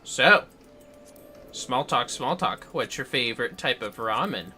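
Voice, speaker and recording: A man's voice: mid-range voice, expressive, measured pace, pushed and bright, soft background music. A man hosting a video game livestream with casual, playful chatter and muttered asides to the game. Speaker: livestream host playing an online video game. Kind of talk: casual gaming livestream chat.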